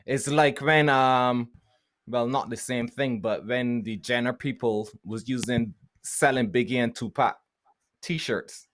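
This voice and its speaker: A man, co-hosting a podcast over a video call from home and explaining slowly, repeating words as he thinks. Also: medium pitch, a bit bright. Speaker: podcast co-host. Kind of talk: casual chat.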